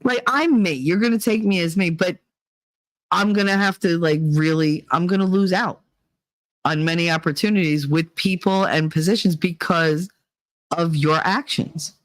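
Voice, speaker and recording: A woman's voice: fairly low pitch, normal speed, no room sound. A woman hosting a vlog, giving blunt, rambling opinions with plenty of swearing. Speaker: vlog host. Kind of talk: casual opinion monologue.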